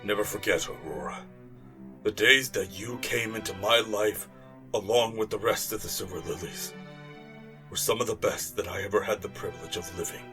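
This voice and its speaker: A man playing a doting, gruff older uncle, theatrical and heartfelt, in an amateur audio drama. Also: lowish voice, moderate pace, bright, forceful tone, soft background music. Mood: fond, moved.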